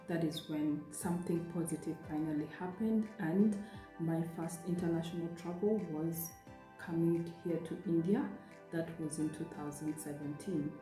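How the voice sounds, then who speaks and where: A woman's voice: deep voice, dark tone. A young woman from Uganda chatting casually in a friendly, rambling way as a vlogger. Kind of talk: casual vlog talk. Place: apartment room. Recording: echoey room, faint music.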